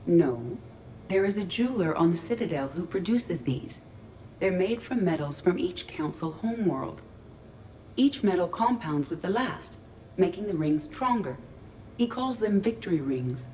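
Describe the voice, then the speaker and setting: Game role, curious literal female AI. Lowish voice, precise formal phrasing, dry delivery, noisy ambience. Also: animated, unhurried, mellow. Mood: formal, earnest. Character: female ship artificial intelligence in android body, curious, literal, earnest. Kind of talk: video game dialogue acting.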